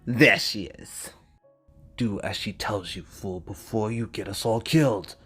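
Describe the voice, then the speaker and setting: A narrator voicing a gruff, loyal older knight, speaking solemnly in a muttered tone for a dramatic fantasy audiobook. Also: medium pitch, measured pace, a bit bright, faint music behind, voice pushed down. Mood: urgent warning.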